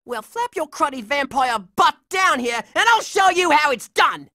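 Brash, high-pitched scrappy cartoon boy. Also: very animated, normal speed, bright, forceful tone, no room sound, clean studio sound, full character voice. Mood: defiant. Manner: brash, slangy, combative. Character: scrappy, tough-talking hot-headed boy action hero. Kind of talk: animated cartoon video game acting.

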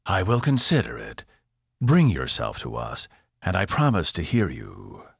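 A man's voice: low voice, wildly swooping pitch, measured pace, creaky, slightly rough, no room sound, clean studio sound, pitched above the performer's own voice, full character voice. A man voicing a wary, imperious female alien priestess who speaks sharply and formally. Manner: sharp, commanding, archaic.

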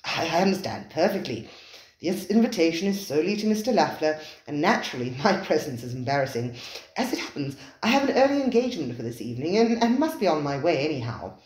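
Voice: medium pitch, very animated, darkish tone, reverberant, lightly acted, poor audio. A reader voicing a tactful male companion who bows out with light banter. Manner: quick, bantering.

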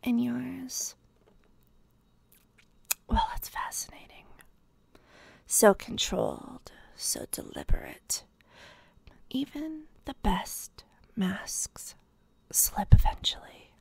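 An obsessed female detective, acted by a woman in an intimate ASMR roleplay, toying with a captive. Her voice is rather high yet breathy, with creaky edges, and she pauses deliberately as she teases. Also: wildly swooping pitch, no echo, heavily acted. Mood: sly, probing. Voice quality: breathy, whispery. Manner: slow, deliberate, teasing, pausing.

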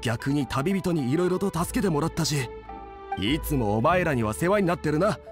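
An actor playing an easygoing, confident young inn chef with a hospitable manner, in anime game dialogue. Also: male voice, fairly high pitch, lively intonation, normal speed, close to an everyday voice. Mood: friendly, inviting. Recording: music clearly behind the dialogue.

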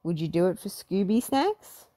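A woman's voice: lowish voice, very animated, normal speed, warm tone, dry recording. A woman voicing a clever, matter-of-fact teenage girl detective who explains clues decisively in a children's storybook. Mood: inquisitive.

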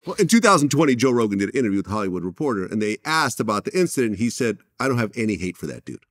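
A man's voice: fairly low pitch, big pitch swings, racing, a bit bright, no room sound. Male interviewer, very dry close sound. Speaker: interviewer, host. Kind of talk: conversational interviewing.

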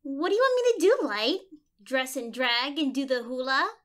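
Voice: sits high, sing-song, moderate pace, fairly bright tone, slight background noise. An actor voicing a feisty, flustered young pony in a cartoon. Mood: sarcastic. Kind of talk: animated cartoon acting.

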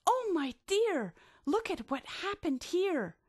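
A woman's voice: very high voice, big pitch swings, takes it slowly, voice pushed up, full character voice. A woman voicing a very shy, self-conscious male butterfly who frets and protests in a children's storybook. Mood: flustered. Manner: fretful, protesting.

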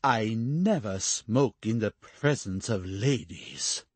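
A woman's voice: very deep voice, animated, slow, slightly rough, no room sound, voice pushed down, full character voice. A woman doing a pompous, patronizing duke. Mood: haughty. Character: haughty young Spanish duke, patronizing, stiff.

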